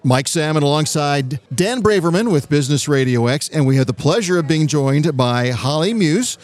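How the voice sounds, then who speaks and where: A man's voice: fairly high pitch, expressive, normal speed, a little mellow. A man hosting a business radio interview, asking questions in an enthusiastic, encouraging way. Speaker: radio show host.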